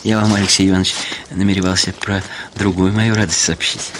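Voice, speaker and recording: An actor playing a meek, sly, obsequious widower with quiet spite and hesitant politeness in a radio drama. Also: male voice, deep voice, level tone, brisk, background noise, lightly acted. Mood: sly, ingratiating. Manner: hesitant, ingratiating, stammering politeness. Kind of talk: Russian radio drama acting.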